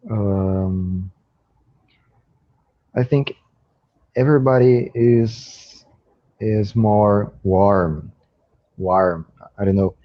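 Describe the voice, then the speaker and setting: A young Brazilian man, native in Portuguese, speaks English with a Brazilian accent in a low voice. He goes very slowly and hesitantly, hunting for words, over dull lo-fi audio. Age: young adult. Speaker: English student. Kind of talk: halting conversation practice.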